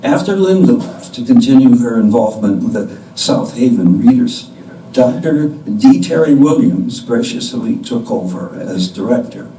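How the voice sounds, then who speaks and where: An older man giving a deliberate scripted introduction to a play from a stage, with pauses. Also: medium pitch, animated, warm tone. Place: indoor stage before an audience. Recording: distant mic in echoey room, background noise.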